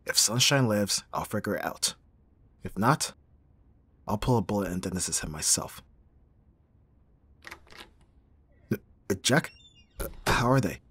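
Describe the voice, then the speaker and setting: Casting: male actor as abrasive yet tender bodyguard for audio roleplay, lowish and very husky, guarded and halting. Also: lively intonation, a bit bright. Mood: tense, worried. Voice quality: husky, breathy. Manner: halting, sighing, emotionally guarded. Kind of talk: ASMR roleplay acting.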